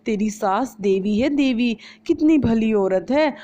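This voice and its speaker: A woman's voice: on the high side, expressive, takes it slowly, mellow, pitched above the performer's own voice. A woman voicing a coaxing, preachy mother lecturing her daughter in a storybook. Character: coaxing, two-faced mother of the bride. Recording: slightly dull lo-fi recording.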